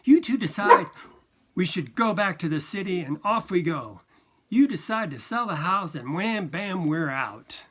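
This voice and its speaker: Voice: mid-range voice, animated, a little slow, a bit bright, a little hiss or hum, voice pushed up. A narrator voicing an angry, sarcastic ten-year-old boy who snaps indignantly.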